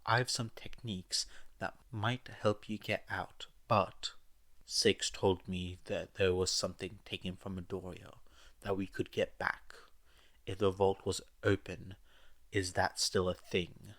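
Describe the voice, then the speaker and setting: Man as a terse, low-voiced stoic teacher. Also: a little slow. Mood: businesslike. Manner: flat, businesslike. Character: terse, stoic adult male hero teacher.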